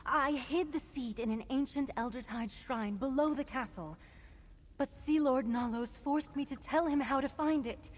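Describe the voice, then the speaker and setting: An actress playing a weakened druid captive in a video game, solemn and pleading, with halting, deliberate speech. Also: female voice, high voice. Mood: weak, pleading. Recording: long reverb, faint background noise.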